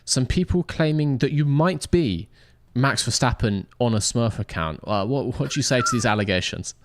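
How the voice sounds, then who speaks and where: A man's voice: mid-range voice, lively intonation, normal speed. A man hosting a livestream and casually interviewing racers after an event. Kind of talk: casual post-race interview.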